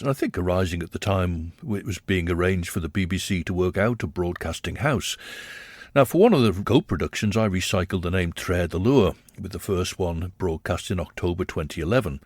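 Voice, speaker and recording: An older Manx man with a deep voice tells his story at an even, measured clip. His pitch rises and falls a lot, giving the narration plenty of lift. The recording is close and dry. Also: dry recording. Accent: Manx English. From Isle of Man. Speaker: radio presenter and producer. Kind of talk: reflective documentary narration.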